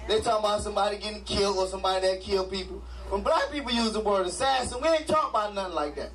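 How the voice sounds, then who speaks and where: Quick, crowd-quizzing man comic with a very high voice, heard from a distance. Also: a bit bright, a little hiss or hum. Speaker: stand-up comedian. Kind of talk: stand-up comedy set. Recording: distant mic.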